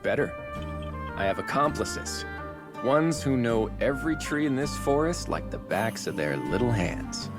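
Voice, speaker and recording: An actor playing a composed bird-warrior leader in a video game, courteous and confident. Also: male voice, mid-range voice, very animated, measured pace, over music. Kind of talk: dramatic video game cutscene acting.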